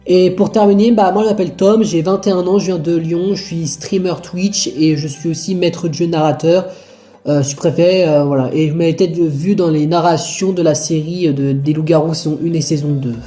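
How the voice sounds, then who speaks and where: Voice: mid-range voice, fairly even intonation, normal speed, dark tone, faint music behind, close to an everyday voice. A performer voicing a young male contestant in his early twenties, talking in a rambling way and sounding relieved. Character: young man, 21, streamer and narrator. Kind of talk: homemade toy-figure adventure show.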